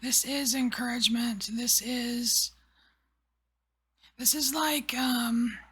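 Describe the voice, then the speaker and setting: A woman giving a whispered tarot reading, rambling softly with many fillers. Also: fairly high pitch, fairly even intonation, slow pace. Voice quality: breathy. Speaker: tarot reader.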